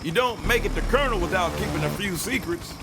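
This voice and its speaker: An actor playing a proud, skeptical American retired Marine colonel, direct and challenging, in an audio drama. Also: male voice, high voice, expressive, fast talker, noisy background. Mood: suspicious.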